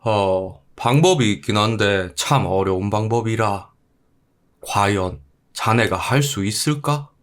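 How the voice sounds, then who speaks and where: Storybook role: solemn Buddhist monk acted by a man, lowish pitch, measured and sage. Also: close to an everyday voice. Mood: grave advising. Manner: measured, sage-like, old-fashioned speech. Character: solemn, knowing Buddhist monk giving grave advice.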